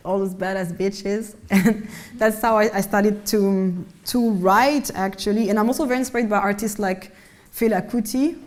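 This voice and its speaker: A woman artist with a low voice, talking casually on a panel about her work, often restarting words. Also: a little mellow. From Mali and France. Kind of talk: casual conversational talk.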